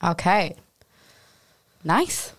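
A woman's voice: fairly low pitch, sing-song, very slow, fairly bright tone. A woman hosting a podcast, talking casually as she interviews her guests. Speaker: podcast host.

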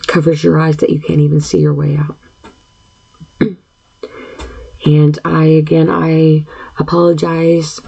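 A woman in her fifties with a gravelly, husky, mellow voice that stays flat in pitch. She talks in an earnest, halting way, clearing her throat often. The recording is dull and lo-fi. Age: 50s. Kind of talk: personal monologue.

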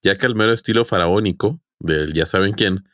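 Low-voiced man co-hosting a casual podcast. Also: moderate pace, a bit bright, dry recording, clean studio sound. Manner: informal, conversational. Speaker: podcast co-host. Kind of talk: casual presenting of prepared topic.

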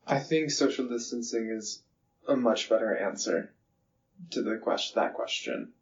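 A man's voice: medium pitch, a little slow, highs cut off. Plain-spoken man of twenty. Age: 20s. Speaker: interviewee.

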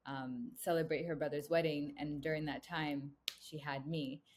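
A woman's voice: deep voice, fairly even intonation, moderate pace, a little mellow, slight background noise. A young woman from the United States, a brand co-founder talking earnestly in a casual interview, with frequent "you know" fillers. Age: young adult.

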